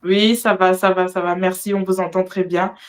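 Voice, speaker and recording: A woman hosting a live religious discussion, partly reading from notes, with a hesitant, halting delivery. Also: fairly low pitch, little pitch movement. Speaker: host of a religious discussion show.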